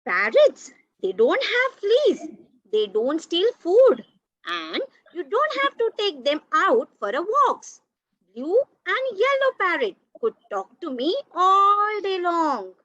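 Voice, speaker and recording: A bright, forceful and high-pitched eager boy, read by a woman in a reader's theatre. The reading is slow, careful and halting. The audio is dry and muffled, like an online call. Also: sing-song, no echo, heavily acted. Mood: eager, pleading. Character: eager young boy longing for a pet.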